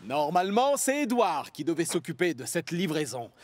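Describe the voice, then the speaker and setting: Businesslike cartoon railway director, lively intonation, faint noise behind. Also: male voice, fairly high pitch, moderate pace. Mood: brisk authority. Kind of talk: children's cartoon acting.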